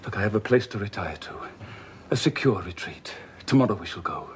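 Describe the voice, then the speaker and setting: An actor playing a brooding, passionate gentleman who is master of the house, speaking intensely and pleading, from low murmurs to outbursts, in a period film drama. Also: animated, fairly quick, husky.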